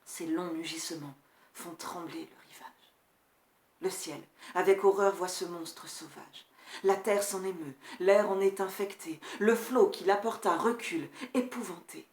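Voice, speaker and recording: A woman performing a grief-stricken male tutor in a classical verse tragedy, solemn and measured, moving between hushed and forceful delivery. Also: on the low side, roomy sound, natural voice. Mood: solemn dread. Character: grief-stricken male tutor recounting his prince's horrific death. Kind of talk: dramatic classical French verse recitation.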